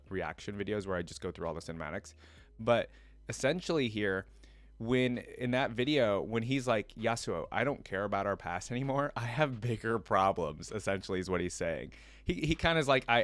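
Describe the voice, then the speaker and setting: A man's voice: mid-range voice, dry recording. A man hosting a reaction video, reading lore aloud and adding informal, casual fan commentary.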